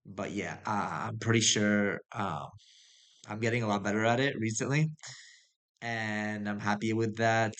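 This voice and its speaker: Casual vlogger, young man, low flat voice, rambling delivery with lots of fillers. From United States. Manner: rambling, halting, many fillers and restarts. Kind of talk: casual personal monologue.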